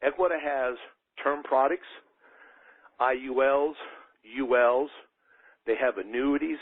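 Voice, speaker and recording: A man's voice: medium pitch, takes it slowly, breathy edge. A man giving an emphatic motivational sales talk as a team leader, exhorting his listeners directly over a conference call. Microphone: telephone. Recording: muffled, narrow phone conference line.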